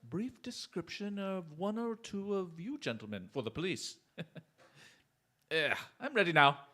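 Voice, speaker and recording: Suave male detective for radio drama, played by a man: ringing bright voice, animated, polite but taunting. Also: high voice, measured pace, full character voice. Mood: cool, mocking. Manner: polite, mocking, theatrical. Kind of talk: theatrical radio drama acting.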